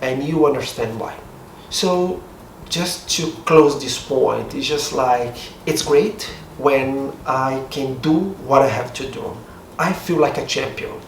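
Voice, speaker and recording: A middle-aged man from Brazil with a Portuguese accent and a slightly hoarse voice, chatting upbeat to camera at home. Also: medium pitch. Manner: chatty, upbeat, self-deprecating. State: a bit hoarse. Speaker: vlogger. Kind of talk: casual talk to camera. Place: home room with outside noise. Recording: roomy echo, distant mic, faint street noise.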